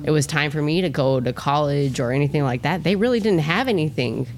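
A woman's voice: low voice, a little fast, a little mellow, a little hiss or hum. A woman in her thirties from New Mexico, a podcast guest talking earnestly with many fillers. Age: 30s. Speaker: gym staff member, guest. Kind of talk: casual podcast talk.

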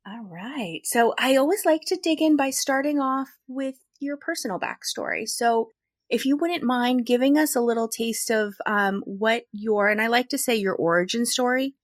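A woman's voice: a little high, moderate pace, dry recording, studio quality. A woman hosting an interview podcast, asking thoughtful questions in a conversational way. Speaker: podcast host.

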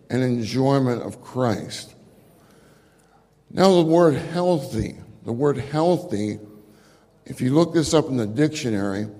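A man preaching a sermon to a church gathering into a microphone, with a loud voice and phrases repeated for emphasis. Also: mid-range voice. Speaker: speaker at a church conference. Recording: long hall reverb.

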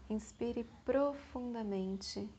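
A Brazilian woman guiding a meditation in a soothing way, in short phrases separated by long pauses. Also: fairly high pitch, dark tone, slightly rough. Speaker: meditation guide. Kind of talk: guided meditation.

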